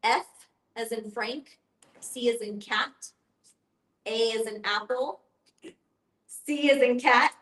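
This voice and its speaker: A woman's voice: mid-range voice, fairly bright tone. A woman explaining things step by step as an educator leading a group discussion, in an instructive way. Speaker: end-of-life educator and guest facilitator. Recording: roomy, echoing sound.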